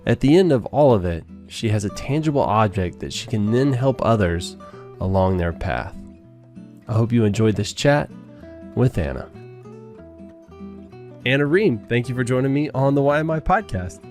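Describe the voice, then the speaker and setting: A man's voice: medium pitch, expressive, moderate pace, pauses often, darkish tone, soft background music. A man hosting a podcast interview, easygoing and self-deprecating in manner. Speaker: podcast host.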